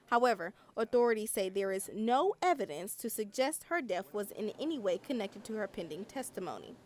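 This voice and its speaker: A woman's voice: mid-range voice, big pitch swings, brisk, darkish tone, slight background noise. American woman reading a TV news report. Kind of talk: scripted news narration.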